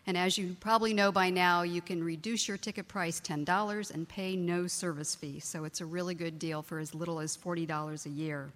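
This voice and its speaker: Brief: female announcer, lowish voice, plain halting delivery, hall reverb. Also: a bit bright. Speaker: event announcer. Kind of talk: housekeeping announcements. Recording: reverberant auditorium.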